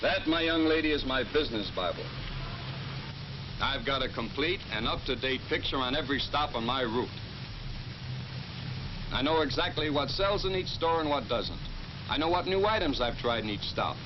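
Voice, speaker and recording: A man's voice: medium pitch, expressive, moderate pace, pauses often, lightly acted. A man with an American accent playing a folksy, eager bread route salesman explaining his job in a 1950s training film. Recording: noisy, muffled 1950s film soundtrack.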